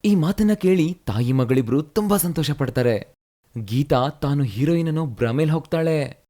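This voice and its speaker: Storyteller man, mellow tone, slightly high voice, brisk. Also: animated. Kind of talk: storybook narration.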